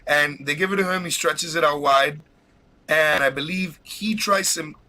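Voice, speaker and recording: An excited man hosts a casual live podcast, voice bright and forceful. He rambles, restarts and laughs often, sounding like he's pushing through a cold. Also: fairly high pitch, moderate pace. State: has a cold. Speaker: podcast host.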